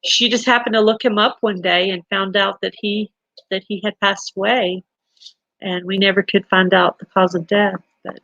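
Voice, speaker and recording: A woman's voice: mid-range voice, level tone, moderate pace, a bit bright, no room sound, lo-fi sound. A middle-aged woman with a Texan accent, hosting a live stream and telling stories in a chatty way with fillers and restarts. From Texas. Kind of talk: casual chat reading viewer comments.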